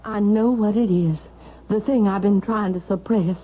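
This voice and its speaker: A woman's voice: medium pitch, steady tone, moderate pace, breathy edge, close to an everyday voice. An actress playing a troubled, stubborn mother in a 1970s radio drama. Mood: urgent, fearful. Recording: thin phone-like vintage radio sound.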